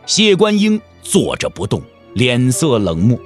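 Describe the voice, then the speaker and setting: A man's voice: mid-range voice, wildly swooping pitch, measured pace, faint music behind. A man narrating a martial-arts audio drama in a dramatic scripted style.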